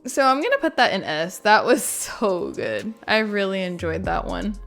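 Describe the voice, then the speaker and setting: Female gaming creator, mid-pitched, casual and chatty reaction commentary with light music underneath. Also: sing-song, moderate pace, a bit bright. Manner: informal, chatty, frequent "like".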